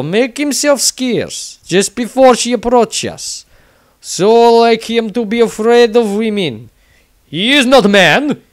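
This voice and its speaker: A boisterous burly warrior recounts his adventures with a thick Russian-style accent. His English is broken and blunt, delivered slowly, with a high, swinging pitch for a male voice. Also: dry recording, full character voice. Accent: Slavic, Russian-like. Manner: broken English, blunt exclaiming, hearty laughs. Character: boisterous burly Kislevite warrior, blunt, gleeful, diary-writing. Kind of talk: in-character fantasy audiobook diary.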